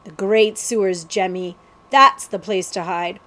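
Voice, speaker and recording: A woman's voice: mid-range voice, a little slow, bright, forceful tone. A woman voicing a scrappy, scheming street boy in a storybook, with plain colloquial talk. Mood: urgent scheming.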